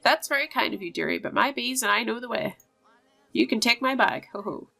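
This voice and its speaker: Game role: kindly old witch played by a woman, high-pitched, knowing, coaxing, never in a hurry, soft music underneath. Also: expressive, brisk, vocal fry, full character voice. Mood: friendly.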